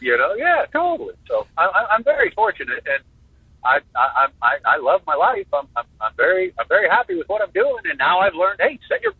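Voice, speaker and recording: High, lively man in his fifties on a phone line. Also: husky, no echo. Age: 50s. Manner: animated, halting restarts, jokey. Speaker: guest drummer. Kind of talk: casual interview talk.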